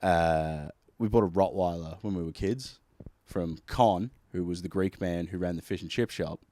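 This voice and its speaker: A man's voice: low voice, normal speed, dark tone, no echo. A man hosting a podcast, talking loosely and laughing often. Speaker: podcast host. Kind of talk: casual chat.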